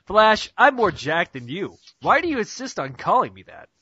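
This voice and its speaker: A man's voice: sits high, very animated, normal speed, sharp tone, breathy edge, no echo, dull audio, exaggerated voice. A man voicing a brooding, self-pitying young superhero with melodramatic delivery, in an amateur cartoon reading over an internet call. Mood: irritated. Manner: melodramatic inner monologue.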